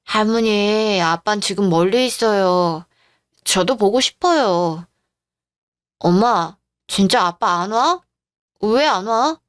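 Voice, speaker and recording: A woman's voice: medium pitch, expressive, takes it slowly, long pauses, clear, resonant tone, no echo, clean studio sound. A woman playing an emotional teenage girl, attached to her family and resentful, in a radio-drama style story. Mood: concerned, wistful.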